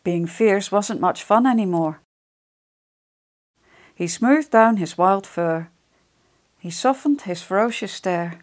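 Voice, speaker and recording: A middle-aged woman reading a picture book to young children, expressive and deliberate, addressing them directly. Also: mid-range voice. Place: small wood-panelled room indoors. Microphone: lapel microphone.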